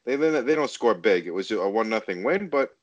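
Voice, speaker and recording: Male co-host for sports chat, low voice recorded dry, loose and slightly hesitant delivery. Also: lively intonation, brisk, no echo, lo-fi sound. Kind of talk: casual sports discussion.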